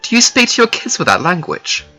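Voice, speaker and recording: A man voicing a guarded, protective father dragon in an animated fantasy series, with a measured, dry delivery. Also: high-pitched, soft background music, exaggerated voice. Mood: firm, defensive.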